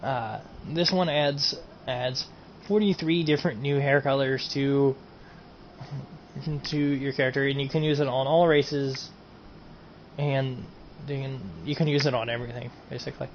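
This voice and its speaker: A man's voice: medium pitch, steady tone, measured pace. Male gaming streamer, casual and repetitive, mid-range voice on a dull lo-fi mic. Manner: rambling, repeats himself, trails off with "and everything". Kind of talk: casual mod showcase. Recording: dull lo-fi home recording, faint noise.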